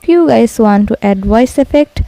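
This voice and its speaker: A woman's voice: a little high, animated, normal speed, vocal fry, dark tone, no room sound. A woman presenting a scripted step-by-step app tutorial voice-over, addressing viewers in simple instructional phrases.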